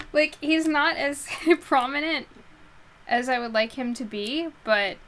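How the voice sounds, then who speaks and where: A woman's voice: sits high, slow, fairly bright tone, slight background noise. A woman chatting casually with her live stream viewers from her bedroom before a book reading, a bit nasal, rambling and laughing easily. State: a little nasal. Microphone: headset while wearing headphones.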